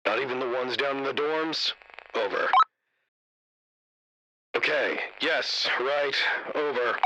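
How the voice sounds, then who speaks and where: A man playing a worried, insistent worker making halting, questioning calls over a radio in an audio drama. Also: medium pitch, bright, forceful tone, slight background noise, poor audio.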